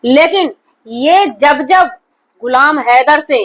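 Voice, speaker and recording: A woman's voice: sits high, slow, fairly bright tone. A woman gives opinionated news commentary in an emphatic, repetitive and accusatory manner. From India. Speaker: online commentary host.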